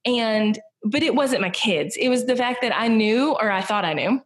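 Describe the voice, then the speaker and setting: A woman's voice: a little high, lively intonation, normal speed. A woman in her thirties with a Southern US accent, chatting as a guest in an interview, rambling with lots of "like" and "you know". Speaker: podcast guest, business owner. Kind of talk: casual conversational interview.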